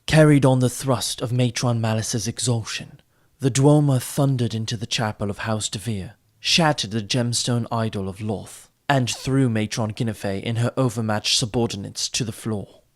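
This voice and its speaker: A man's voice: medium pitch, steady tone, moderate pace. A man reading a fantasy audiobook as narrator, in a dramatic, theatrical storytelling style.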